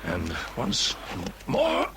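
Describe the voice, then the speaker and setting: An actor playing a kindly, unflappable British army officer in a radio drama, breezy and encouraging in clipped old-chap speech. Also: male voice, deep voice, very slow, noisy background. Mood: brisk, helpful.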